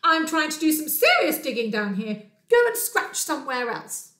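A woman's voice: high-pitched, very animated, moderate pace, sharp tone, echoey room, pitched above the performer's own voice, exaggerated voice. A woman voicing a grumpy, busy mole from a storybook, crying out and scolding. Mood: annoyed.

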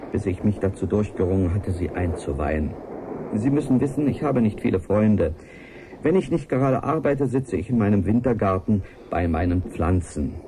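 An actor playing an earnest, lonely scientist who confides in a formal, measured way in a radio-play audio letter. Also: male voice, lowish voice, background noise. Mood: weary, confiding. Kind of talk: 1980s German radio play monologue.

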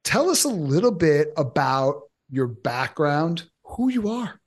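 Male podcast interviewer, informal and playful, with a slightly raised, raspy voice. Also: a little high, big pitch swings, slow pace. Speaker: podcast host. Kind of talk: casual interview chat.

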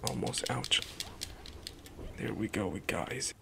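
A young man whispering ASMR commentary while playing a game, soft and hushed with sudden loud outbursts, into a desk mic in a small room. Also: mid-range voice, unhurried, gravelly, background noise. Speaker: gaming streamer. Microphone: headset and desk mic, webcam in corner.

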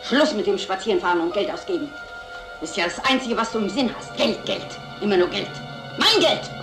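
A woman acting as a bossy, scornful wife in an old crime film. She scolds in lively swings of pitch, sharp-tongued, in an echoey space with music behind her. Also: brisk, lightly acted. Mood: scornful, scolding. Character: domineering, scornful, money-obsessed nagging wife.

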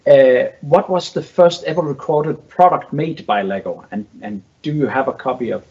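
Halting male interviewer, slightly muffled. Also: medium pitch, a little mellow, slight background noise. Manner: halting, rephrases questions midway. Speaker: host relaying viewer questions. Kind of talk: casual, unscripted interviewing.

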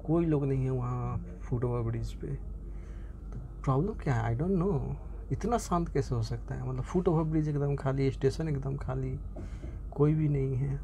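A man's voice: medium pitch, mellow. A young man chatting casually in a travel vlog, thinking aloud with many fillers and restarts, filming on a phone by a train window. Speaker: vlogger. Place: inside a train compartment at a station, by the window. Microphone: phone camera held near the window. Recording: noisy phone recording in a train. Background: station and train ambience.

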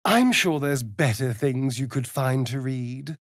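An actor playing an arch, flirtatious, sardonic vampire rogue in a video game, with a theatrical drawl. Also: male voice, medium pitch, animated, unhurried, no echo, clean studio sound. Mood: playful, sly. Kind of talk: video game character dialogue.

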